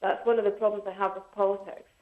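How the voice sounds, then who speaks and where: A woman taking part in a radio debate and making her points in a measured, earnest way. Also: medium pitch, level tone. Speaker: debate guest. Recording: tinny echoey line.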